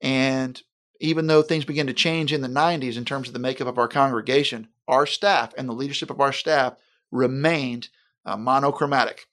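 A man speaks as a pastor in a conversation, in a mid-range voice. He talks in an earnest, deliberate way and often slows down to stress a point. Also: medium pitch, fairly even intonation, fairly bright tone. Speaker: senior pastor. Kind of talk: conversational interview.

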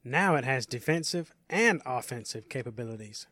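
A man's voice: medium pitch, expressive, moderate pace, sharp tone, no echo, studio quality. A man voicing an eager, proud young superhero in an audio story, in an exclamatory manner. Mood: pleased.

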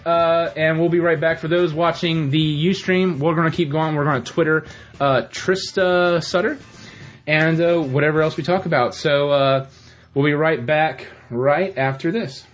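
A man's voice: a little high, steady tone, moderate pace. A young man hosting a podcast in casual chat, rambling through jokey stories with asides. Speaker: podcast host.

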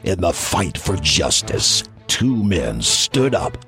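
A voice actor playing a grave, dramatic trailer announcer with a gravelly voice, narrating an ad parody. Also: male voice, sits low, sing-song, slow, pushed and bright, soft background music, exaggerated voice. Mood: solemn, dramatic. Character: grave epic announcer man, solemn and dramatic. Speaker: professional voice actor.

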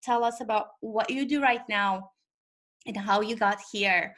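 A woman's voice: mid-range voice, steady tone, unhurried, a bit bright. A woman hosting a podcast, upbeat and laughing often as she welcomes her guest. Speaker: podcast host. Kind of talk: podcast interview.

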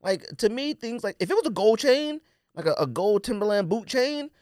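Male co-host, mid-pitched, very lively intonation, slangy playful banter, dry recording. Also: moderate pace, dry recording. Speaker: podcast co-host. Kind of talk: casual banter.

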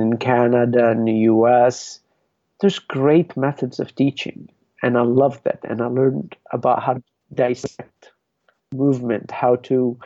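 Gravelly, mellow-voiced man from Lebanon. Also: mid-range voice, dry recording, highs cut off. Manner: reflective, rambling with many restarts. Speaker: guest, belly dance artist. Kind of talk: podcast interview.

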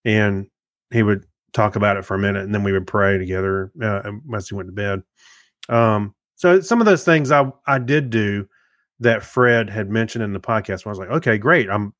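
A man's voice: fairly low pitch, a bit bright, no echo, clean studio sound. A middle-aged man co-hosting a podcast in casual chat, talking in a rambling way with many restarts and fillers. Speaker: podcast co-host.

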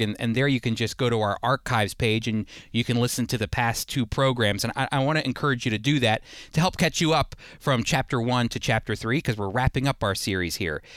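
A man's voice: medium pitch, a bit bright, clear, resonant tone, no room sound. A man hosting a radio Bible program, preaching conversationally and earnestly with emphatic, repeated phrasing into a close studio mic. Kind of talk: conversational radio sermon with scripture reading.

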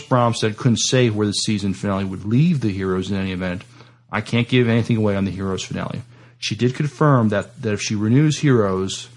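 Man, podcast co-host, low gravelly voice, stammering and tentative delivery, casual discussion. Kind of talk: casual discussion, reading out entertainment news.

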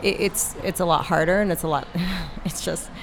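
Woman, 30s, from Puerto Rico, shop owner; lower voice, faint room hiss behind. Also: steady tone, normal speed, creaky. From Puerto Rico, raised in Florida. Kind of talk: casual interview talk.

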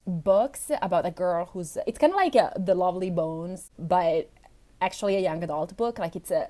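A woman with an Italian accent, a podcast guest chatting casually, often laughing and restarting her sentences. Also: medium pitch. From Italy. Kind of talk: casual chat.

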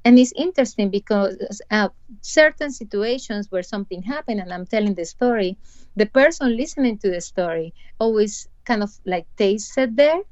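A woman's voice: medium pitch, expressive, moderate pace, darkish tone, no room sound, highs cut off. A woman with a Colombian Spanish accent, a podcast host chatting casually and retelling a story with many restarts and hesitations. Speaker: podcast host, dental practice owner. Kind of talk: casual chat.

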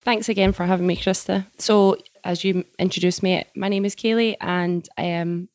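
Gravelly-voiced Scottish woman. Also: medium pitch, fairly even intonation, moderate pace, a little mellow, dry recording. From Scotland. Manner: friendly, outgoing, self-deprecating. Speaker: guest engineer. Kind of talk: casual interview chat. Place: office.

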